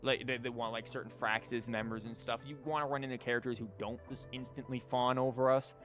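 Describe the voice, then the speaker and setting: A man's voice: medium pitch, steady tone, brisk, a bit bright. Male game streamer, casual commentary, informal and rambling, mid-range voice, music in the background. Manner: rambling, informal, thinking aloud with false starts.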